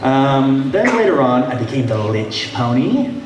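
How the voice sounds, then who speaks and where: A man's voice: medium pitch, sing-song, a little slow. A man speaking as a voice actor on a convention panel, casually introducing his roles. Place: large convention panel room. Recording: echoey room, distant, noisy.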